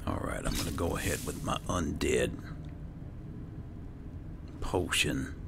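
A man with a rock-bottom, crackling voice gives casual game commentary. He talks slowly and keeps it low-key, muttering to himself over noisy game ambience. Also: vocal fry. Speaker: gamer hosting a roleplay playthrough.

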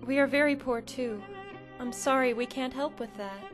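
A woman's voice: high voice, moderate pace, music in the background, lightly acted. A woman playing a kind village wife. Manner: gentle, warm, reassuring. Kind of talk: dubbed drama acting.

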